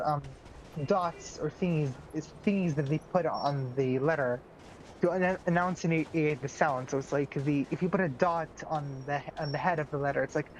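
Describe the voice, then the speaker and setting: A woman's voice: low-pitched, muffled recording, slight background noise. A woman from Israel, a native Arabic speaker, chatting casually on a game stream, often stopping and restarting her words. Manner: halting, restarts words, giggly. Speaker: streamer's partner and co-host.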